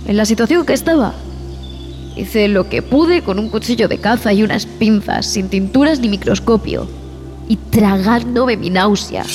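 An actress as a shaken woman scientist recounting horrors in an audio drama. Her delivery is deliberate and theatrical, with dramatic pauses and a fearful, repulsed temper. Long reverb surrounds the voice, and music plays behind it. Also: medium pitch, darkish tone, natural voice. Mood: haunted, disgusted. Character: frightened, repulsed female expedition zoologist recounting horrors. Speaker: narrator and lead actress.

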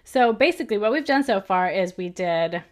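A woman's voice: mid-range voice, lively intonation, normal speed. Woman co-host, easygoing chatty delivery, frequent laughs. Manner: chatty, laughs often between remarks. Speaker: podcast co-host. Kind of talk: casual chat recapping episodes.

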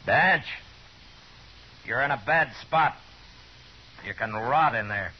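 A man's voice: mid-range voice, expressive, slow pace. An actor playing a stern, weary Old West lawman in a 1950s radio western, terse, commanding and dry. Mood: hard, commanding. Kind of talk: 1950s radio western acting and first-person narration. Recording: muffled old 1950s radio recording, noisy, music.

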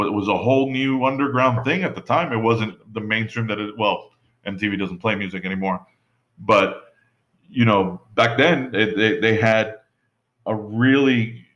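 A man's voice: fairly low pitch, fairly bright tone. A man in casual podcast chat who rambles, full of "you know" and restarts. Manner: rambling, many fillers and restarts. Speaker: podcast co-host. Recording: dull lo-fi sound.

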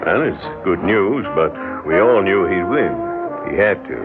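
Weary, very deep-voiced old judge. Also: male voice, lively intonation, measured pace. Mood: somber. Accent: American. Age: older. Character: weary, brooding old small-town judge, burdened by dread. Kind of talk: classic American radio drama acting. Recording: muffled old radio recording with music.

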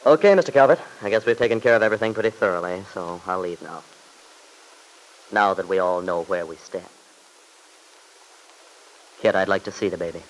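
A man's voice: low-pitched, a little fast, pauses often, lightly acted, low-quality recording. An actor playing a determined young father in an old-time radio drama, earnest and firm but polite. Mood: defiant.

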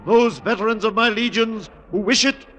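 An actor playing a grand, commanding Roman conqueror in a classic radio drama, declaiming with measured pomp. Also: male voice, high-pitched, lightly acted. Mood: solemn, grand. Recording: old radio recording, loud noise behind.

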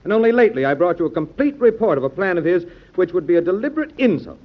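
A man's voice: high voice, big pitch swings, rapid-fire. A man acting a dutiful, earnest statesman and adviser, formal and oratorical, in an old-time radio drama. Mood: concerned, objecting. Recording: dull lo-fi old radio recording.

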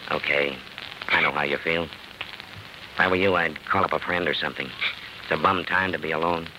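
An actor playing a weary, plain-spoken, sympathetic police detective in a matter-of-fact radio drama style. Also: male voice, extremely low pitch, fairly even intonation, moderate pace. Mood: determined, sympathetic. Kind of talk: 1940s radio acting. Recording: faintly noisy old radio recording.

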